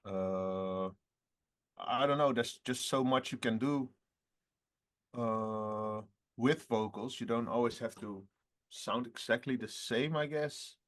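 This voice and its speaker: A singer in his twenties answering interview questions in a relaxed, halting way, often laughing between phrases. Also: medium pitch. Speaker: rock band singer, guest.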